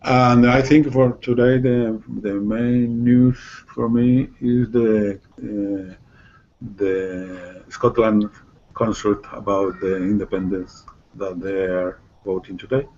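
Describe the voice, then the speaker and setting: A man with a Spanish accent answers in English as a student, searching for words. He speaks very slowly in a low, dark voice. The audio is dull and lo-fi. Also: steady tone. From Spain. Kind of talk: halting answers in a second language.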